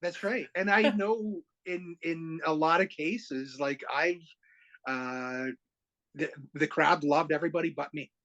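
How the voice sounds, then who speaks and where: A man's voice: fairly high pitch, fairly even intonation, a little slow, fairly bright tone, muffled recording. A middle-aged man of Egyptian roots, a comedian guest telling wry stories in a casual podcast chat. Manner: easygoing, wry storytelling.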